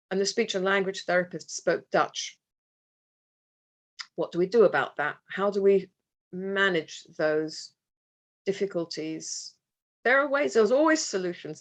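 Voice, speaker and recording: A woman speaking as a parent at a conference, giving a reflective presentation with slides, pausing and restarting as she goes. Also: medium pitch. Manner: earnest, reflective, with pauses and restarts. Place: conference hall.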